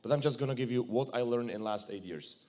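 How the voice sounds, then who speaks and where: A man's voice: mid-range voice, quick pace, a little mellow. Czech-accented man giving a casual conference talk. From Czech Republic. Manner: casual, joking, blunt speech with restarts. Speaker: conference speaker. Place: conference hall.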